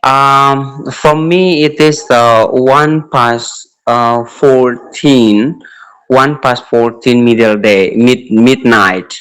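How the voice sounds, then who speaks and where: A man's voice: mid-range voice, steady tone, very slow, a bit bright, clear, resonant tone, poor audio. A man in his late twenties with an Indonesian accent, chatting casually as an English learner and hesitantly searching for words. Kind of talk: casual practice chat with a stranger.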